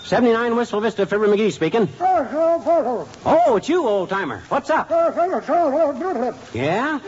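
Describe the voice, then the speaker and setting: An actor playing a boastful, scheming husband in a 1950s radio sitcom, brash and excitable. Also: male voice, extremely high pitch, a little slow, breathy edge, exaggerated voice. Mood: phone chat. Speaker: lead comic. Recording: dull 1950s radio broadcast, studio audience.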